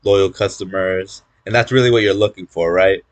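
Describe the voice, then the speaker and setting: A young man from California making casual conversation, relaxed, with lots of fillers and restarts. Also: low voice, moderate pace. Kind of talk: casual chat.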